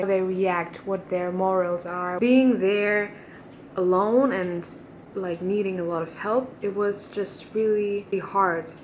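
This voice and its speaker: A woman's voice: mid-range voice, a little mellow, a little hiss or hum, poor audio. A young woman from Germany talking casually to her camera in a video diary, rambling with fillers and sounding jet lagged. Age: young adult. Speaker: vlogger.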